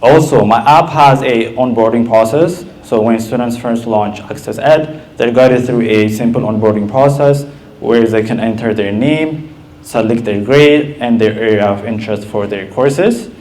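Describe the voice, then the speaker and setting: A man in his twenties from Ethiopia giving a prepared app presentation at an event, steady with frequent "uh" and "like". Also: medium pitch, moderate pace. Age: 20s. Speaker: student presenter. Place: event stage.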